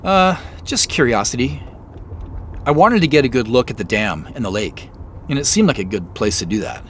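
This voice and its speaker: An actor playing an inquisitive, slightly sheepish amateur sleuth in a naturalistic audio drama, talking in an easygoing, conversational way. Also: male voice, mid-range voice, very animated, moderate pace, fairly bright tone. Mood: puzzled, earnest. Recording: faint vehicle noise behind.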